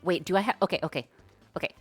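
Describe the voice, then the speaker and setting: A woman's voice: fairly low pitch, racing, soft background music. A woman streaming live, thinking aloud as she writes code and chats with viewers in a slangy, casual way. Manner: thinks aloud while coding, slangy and chatty. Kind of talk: live-stream coding chat.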